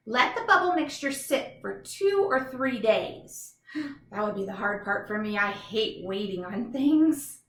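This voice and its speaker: Female read-aloud teacher for kids, higher-pitched and bright, enthusiastic, recorded in an echoey room. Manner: enthusiastic, instructive, addressing young children directly. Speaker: teacher reading a children's book aloud. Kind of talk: children's read-aloud with chatty explanatory asides.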